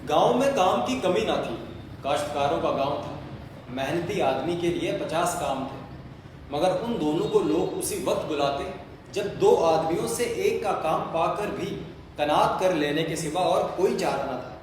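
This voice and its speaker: A man's voice: fairly high pitch, lively intonation, a little slow. A man narrating a scripted story for an audio play. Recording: echoey hall, long reverb, faint noise.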